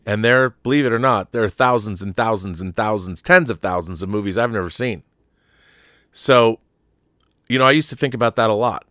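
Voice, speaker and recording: A man's voice: lowish voice, a little fast, fairly bright tone, dry recording, studio quality. A man hosting a live talk show, chatting casually about a viewer's question and repeating words for emphasis.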